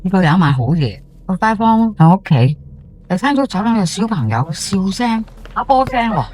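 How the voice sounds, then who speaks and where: A woman's voice: medium pitch, very animated, darkish tone. A woman playing a chatty, superstitious housewife in her sixties with a Hong Kong accent, telling a ghost story in a colloquial, gossipy way. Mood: gossipy, spooked. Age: 60s. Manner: colloquial, gossipy, with drawn-out sentence particles and exclamations. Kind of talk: first-person ghost story monologue for audio drama.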